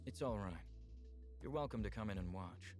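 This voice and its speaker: A man voicing a calm, earnest male visual novel character who speaks in a measured, polite, formal way. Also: on the low side, voice pushed down, lightly acted. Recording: music clearly behind the voice. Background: background music.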